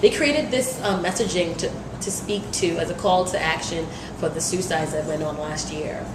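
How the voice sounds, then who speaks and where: A woman's voice: on the low side, moderate pace. A woman working as a health and wellness coordinator, explaining things in an encouraging way during an interview. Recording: echoey room with clear background noise.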